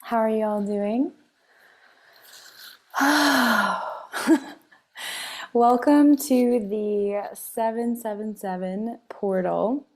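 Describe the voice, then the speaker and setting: A woman with a slightly scratchy voice and a cough, hosting a spiritual meditation talk in a soft, meandering way with many fillers. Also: fairly high pitch, very slow, pauses often. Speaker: wellness meditation host.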